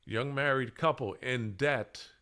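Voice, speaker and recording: A man presenting a scripted monologue in a deliberate, halting way, with emphatic pauses between short phrases. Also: mid-range voice, sharp tone, smooth. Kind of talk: scripted monologue, public speaking.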